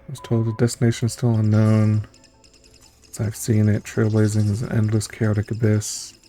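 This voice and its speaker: A man with a low, very mellow voice reads game text aloud. He muses casually between passages as a streamer would. Soft music plays behind him. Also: completely flat delivery, moderate pace. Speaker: streamer playing through a story game.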